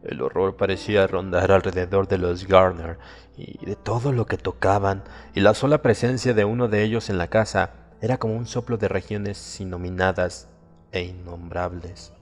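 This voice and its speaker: A man with a low voice reads a horror story as a dramatic audiobook narrator. His delivery is solemn and suspenseful, with deliberate phrasing. Long reverb hangs on the voice, and music plays behind it. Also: fairly even intonation.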